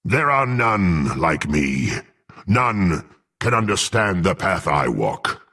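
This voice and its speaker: A menacing half-orc warrior, with a very deep, gravel-filled and raspy voice. He speaks slowly and haughtily, dripping scorn as in a fantasy video game. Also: very animated, sharp tone, heavily acted. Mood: proud, stern. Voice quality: gruff, gravelly.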